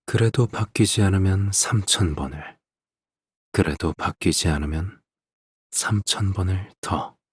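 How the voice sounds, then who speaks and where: An actor playing a possessive, hollow-hearted father in a game, with a breathy, hushed voice and an eerily calm, measured delivery. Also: deep voice, no room sound, studio quality, heavily acted. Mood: storytelling calm. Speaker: game character voice. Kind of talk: dramatic game voice acting, slow monologue.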